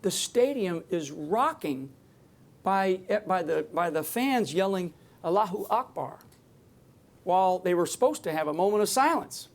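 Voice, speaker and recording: A man's voice: high-pitched, expressive, measured pace. A man speaking off the cuff to a live audience from a stage, emphatic and rambling, often restarting his sentences. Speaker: public speaker on security. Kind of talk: unscripted talk to a live audience.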